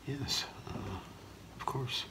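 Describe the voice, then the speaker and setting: A middle-aged man doing a whispered ASMR role play as a gemologist, speaking in a hesitant, halting, softly reassuring way. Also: background noise. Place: small indoor room with curtain.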